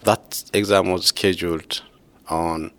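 A man in his thirties from Ethiopia, soft-spoken and laughing often as he talks with his former co-advisor. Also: low-pitched, fairly even intonation, takes it slowly. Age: 30s. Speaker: former PhD student, interviewee. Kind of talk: casual conversation.

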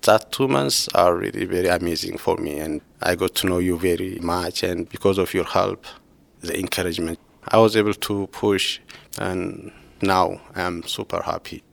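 A man in his thirties from Ethiopia, soft-spoken and laughing often as he talks with his former co-advisor. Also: low-pitched, normal speed, creaky, dry recording. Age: 30s. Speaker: former PhD student, interviewee. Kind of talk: casual conversation.

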